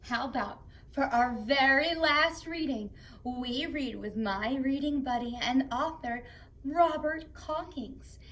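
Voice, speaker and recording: A woman playing an excitable, gushing, childlike female character who speaks to young viewers in a children's show. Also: high voice.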